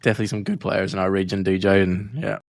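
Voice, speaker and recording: A man's voice: low-pitched, flat delivery, fast talker, creaky, dry recording, studio quality. A man co-hosting a casual podcast, laid-back and easygoing, leaning on fillers. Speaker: podcast co-host.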